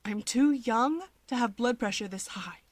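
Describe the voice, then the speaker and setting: Flustered high-voiced young schoolteacher, acted by a woman. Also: a little slow, no room sound. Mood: anxious, placating. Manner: exasperated, defensive.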